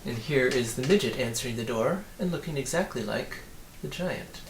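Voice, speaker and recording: A man reading a children's story aloud as an animated narrator. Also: mid-range voice, normal speed. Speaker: audiobook reader. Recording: roomy, distant mic, faint noise.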